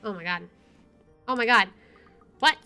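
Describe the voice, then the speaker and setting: The woman on a gaming channel, high-pitched, narrating a visual novel between offhand remarks over faint game music. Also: measured pace, bright, forceful tone, clear, resonant tone. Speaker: let's play streamer. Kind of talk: reading visual novel text aloud with casual commentary.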